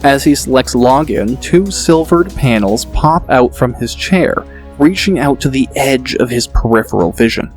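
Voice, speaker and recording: A man narrating an audiobook in a steady, descriptive storytelling manner. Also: mid-range voice, a little slow, faint music behind.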